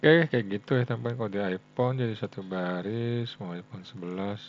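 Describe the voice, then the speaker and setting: An Indonesian man giving a casual coding tutorial, thinking aloud in a halting way and often tagging phrases for his viewers. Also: on the low side. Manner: halting, frequent "ya" tags addressing viewers as friends. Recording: dull lo-fi mic with faint hum.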